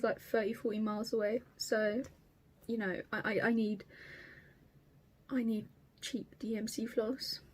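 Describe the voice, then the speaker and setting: A woman's voice: on the high side, a little mellow. A woman in her twenties with an Estuary English accent, from Kent, chatting to camera about her craft in a rambling, sweary way. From Kent, England. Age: 20s. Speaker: craft vlogger. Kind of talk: casual chat to camera.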